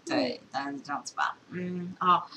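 Woman, Taiwanese Mandarin accent, bright, animated rambling chat, quick, distant hissy recording. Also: lowish voice, roomy sound. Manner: animated, rambling, self-interrupting. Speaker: podcast host. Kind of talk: casual chat, storytelling.

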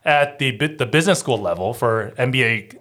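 Bright-voiced man explaining calmly. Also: mid-range voice. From Philadelphia, USA. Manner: articulate, measured explanations. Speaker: guest, admissions consultant. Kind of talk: podcast interview.

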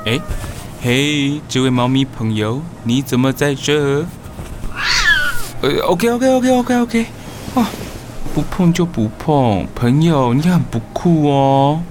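A man's voice: fairly high pitch, slow. A man acting a chatty young newcomer in an audio drama, muttering playfully to himself in Taiwanese Mandarin. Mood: playful, wry. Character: chatty, wry young man new to the neighborhood. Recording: clear noise behind the voice.

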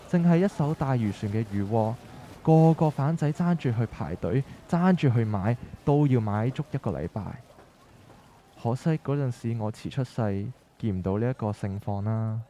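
A man's voice: mid-range voice, warm tone, a little hiss or hum, lightly acted. A man playing an earnest, determined young son of a market family in a radio drama, speaking persuasively in Hong Kong Cantonese. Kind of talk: scripted radio drama dialogue.

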